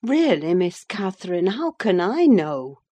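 A woman's voice: lowish voice, big pitch swings, unhurried, mellow. A woman voicing a no-nonsense, sardonic female servant in a dramatised audiobook, dry, sententious and scolding. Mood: scornful. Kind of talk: dramatised audiobook reading of a classic novel.

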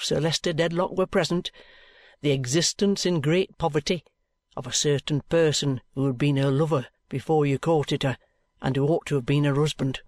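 Brief: man playing a patient, shrewd detective, slightly high voice, dry close recording. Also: no echo, natural voice. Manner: steady, persistent, respectfully insistent. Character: shrewd, patient middle-aged police detective. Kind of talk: audiobook character reading.

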